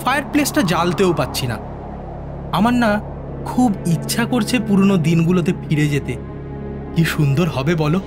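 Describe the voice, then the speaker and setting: A man playing a nervous, haunted young scientist in an audio drama, agitated and high-strung. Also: lively intonation, moderate pace, long pauses, music in the background. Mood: nervous rambling.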